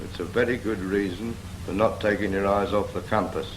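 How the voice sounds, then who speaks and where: Low-voiced British navy instructor played by an actor. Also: male voice, fairly even intonation, a little fast, close to an everyday voice. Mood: instructive. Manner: instructive, firm, prompting with questions. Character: patient, brisk Royal Navy instructor teaching a novice helmsman. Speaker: naval steering instructor. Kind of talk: scripted 1940s training film dialogue. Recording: noisy 1940s film soundtrack.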